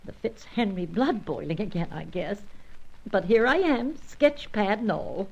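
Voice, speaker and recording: A woman's voice: medium pitch, animated, unhurried, gravelly, a little mellow, husky, full character voice, poor audio. An actress playing a breezy, carefree young woman, a chatty bird painter, in old-time radio serial style. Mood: coaxing.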